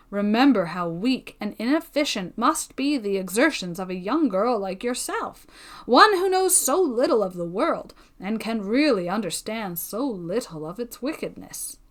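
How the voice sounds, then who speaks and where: A woman reading a solemn, courtly gentleman. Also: mid-range voice. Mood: concerned. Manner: formal, solemn entreaty. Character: courteous earnest young gentleman suitor. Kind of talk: audiobook character reading.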